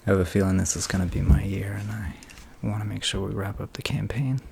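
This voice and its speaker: A man's voice: lowish voice, steady tone, fast, gravelly, a little mellow. A man voicing a laid-back teenage metalhead game master in a whispered ASMR roleplay, over a noisy hiss.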